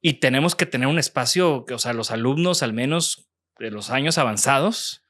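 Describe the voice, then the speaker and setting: A man's voice: medium pitch, expressive, moderate pace, fairly bright tone. A man in his thirties with a Mexican accent, hosting a casual interview and often restarting his sentences. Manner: hesitant, restarts, casual slang. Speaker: podcast host and professor.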